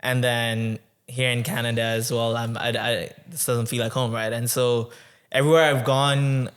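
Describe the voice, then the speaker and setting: Flat-voiced young man, smooth and clear. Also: mid-range voice. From Tanzania. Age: 20s. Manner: reflective, with many um and uh pauses. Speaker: podcast guest. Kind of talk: conversational interview storytelling.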